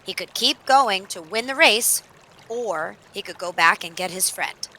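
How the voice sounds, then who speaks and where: A woman narrating a children's story in an expressive read-aloud style, with dramatic emphasis on action words. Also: mid-range voice, measured pace, a little hiss or hum, poor audio.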